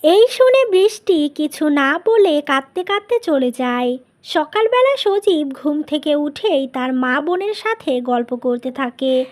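A woman reading scripted narration for a cartoon family story. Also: sits high.

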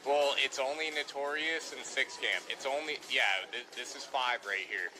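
A man talks through a roleplay game stream in a casual, informal way. He directs his squad like a bossy leader, often repeating his commands. Clear music and game sound play behind him. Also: medium pitch, normal speed, poor audio. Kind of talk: casual in-character gameplay chat.

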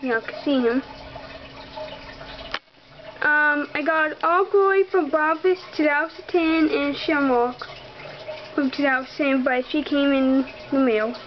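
High-voiced young girl, slow and halting. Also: dull audio, noisy background. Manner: halting, many ums, chatty with viewers. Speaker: model horse collector. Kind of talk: casual barn tour narration. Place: bedroom. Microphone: handheld camera close to the floor.

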